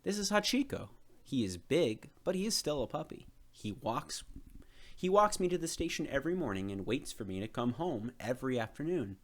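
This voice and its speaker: A man voicing a kindly older professor in a children's storybook, explaining gently and patiently. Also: mid-range voice, very animated, moderate pace, voice pushed up. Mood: kindly, reassuring. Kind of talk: children's storybook character reading.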